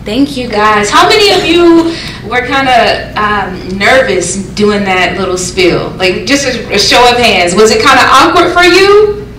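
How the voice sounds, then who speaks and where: A woman's voice: on the high side, lively intonation, measured pace, fairly bright tone. A woman presenting a workshop in a casual way, asking the room questions. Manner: chatty, asks the room questions. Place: conference room. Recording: echoey room, distant mic, faint noise.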